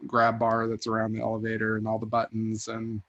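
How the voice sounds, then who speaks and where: Male rental host, even and monotone, measured delivery, casual call chat. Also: fairly low pitch, muffled recording. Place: online group video call.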